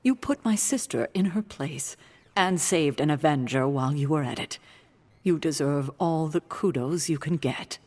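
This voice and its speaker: An actress playing a weary, proud, self-sacrificing woman mentor in a video game, earnest and measured. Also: sits low, expressive, darkish tone, long reverb tail. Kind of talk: dramatic video game dialogue.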